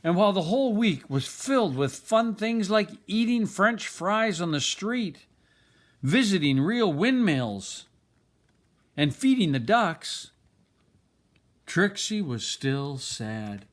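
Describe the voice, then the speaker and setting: An older man reading a children's picture book aloud, expressively and deliberately, with dramatic pauses. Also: sits high, sing-song. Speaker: storybook reader.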